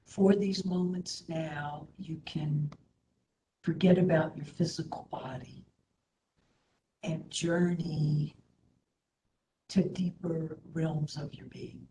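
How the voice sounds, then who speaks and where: A woman instructor leading a guided meditation in a soothing, gentle manner, with hushed phrase endings. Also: sits low. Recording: roomy echo, faint background noise.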